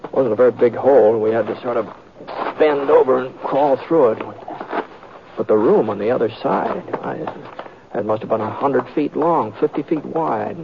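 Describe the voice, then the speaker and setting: An actor playing an easygoing, seasoned western lawman and tracker in an old radio drama, with a hesitant, folksy, unhurried way of talking. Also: mid-range voice, gravelly, natural voice. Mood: steady. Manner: hesitant, folksy drawling delivery with murmured asides. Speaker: lead actor and narrator of the story. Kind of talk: 1940s-50s radio western acting and narration. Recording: noisy, muffled old radio recording with clicks.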